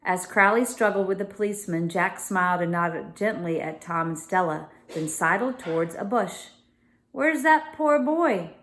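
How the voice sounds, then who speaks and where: A woman's voice: on the low side, fairly even intonation, moderate pace, hardly any pauses, fairly bright tone, slight background noise. A woman reading a children's novel aloud as a storyteller, with casual explanatory asides.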